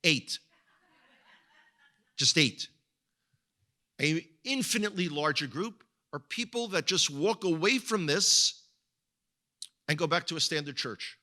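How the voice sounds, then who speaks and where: A man's voice: a little high, expressive, slow pace, pauses often, sharp tone. A man with an Israeli accent giving a conversational talk as a guest speaker at a congregation, often repeating words as he searches for them. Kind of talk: sermon-style talk.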